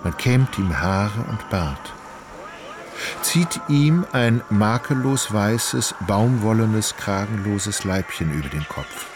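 A man acting as narrator of a literary radio drama, an ornate, wry storyteller with a measured delivery. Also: lowish voice, animated. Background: music.

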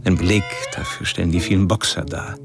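An actor playing a cold, methodical serial killer, speaking in a clinical, detached way in a radio drama monologue. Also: male voice, low voice, fairly even intonation, a little fast, gravelly. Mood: cold, calm. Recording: music playing loudly under the voice.

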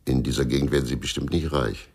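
An actor playing a stubborn, embittered, hard-drinking former policeman in a radio crime drama, blunt and confrontational, with a husky, gravelly voice. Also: rumbling low, fairly even intonation, quick pace, darkish tone, full character voice. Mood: curt, guarded.

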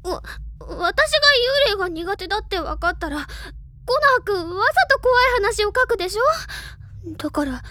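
A woman's voice: extremely high pitch, big pitch swings, normal speed, bright, forceful tone, no echo, exaggerated voice. A woman playing a bold but easily spooked, pouty teenage schoolgirl, the older student, in an anime-style audio drama. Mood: frightened, embarrassed. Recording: clear background music under the voice. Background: music.

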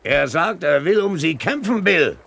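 An actor in a radio play voicing a sly, scheming pirate who wheedles and prods others along. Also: male voice, on the high side, sing-song, measured pace, creaky, fairly bright tone, full character voice. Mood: urgent, goading. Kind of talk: German radio play acting. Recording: faint background noise.

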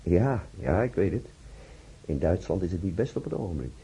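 An actor playing a kindly young hospital doctor in a radio play, giving advice in a paternal way. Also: male voice, low-pitched, sing-song, normal speed, vocal fry, mellow, heavily acted. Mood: paternal, reassuring. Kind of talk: radio drama acting.

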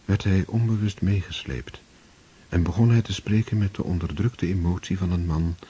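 Deep, dark-voiced male narrator. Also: slightly rough. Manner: measured, literary. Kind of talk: scripted narration. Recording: dull lo-fi recording.

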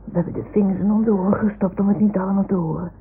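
A woman's voice: medium pitch, steady tone, rapid-fire, natural voice. A woman playing an earnest little child who talks tenderly and a bit bossily to toy animals in a radio play. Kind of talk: 1960s-70s radio play acting. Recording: muffled, hissy old radio recording.

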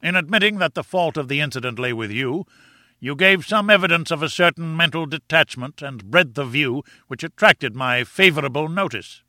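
A man voicing an arrogant, domineering professor in an audiobook, booming and lecturing with insolent condescension. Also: pushed and bright, no room sound, studio quality. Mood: lofty, condescending. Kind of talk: audiobook character dialogue.

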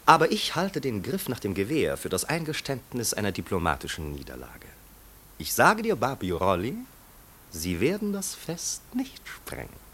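An actor playing an excitable, self-assured marquis in a 1950s radio play, theatrical and musing in his delivery. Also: medium pitch, normal speed, slight background noise. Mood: defiant. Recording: 1950s radio drama recording.

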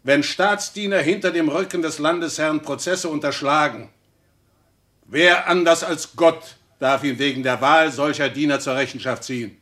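Stern reformer, raised pitch, preacherly, admonishing, formal rhetoric, radio play. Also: male voice, normal speed, sharp tone. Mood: indignant. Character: stern, reproving Protestant reformer and theologian. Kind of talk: German radio play acting.

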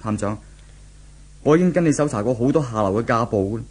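Brief: earnest, anguished boyfriend, husky, Hong Kong Cantonese accent, vintage radio play. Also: male voice, mid-range voice, animated, fast talker, a little mellow. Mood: dejected. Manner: earnest, troubled. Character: lovelorn, anxious man searching for his girlfriend.

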